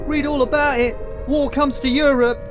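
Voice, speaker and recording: An actor playing a newsboy who cries headlines and narrates in a radio drama. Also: male voice, very high-pitched, little pitch movement, moderate pace, over music. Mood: proclaiming. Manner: declaiming. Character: newsboy crier narrating a theatre company's history. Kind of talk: street news-crier narration in radio drama.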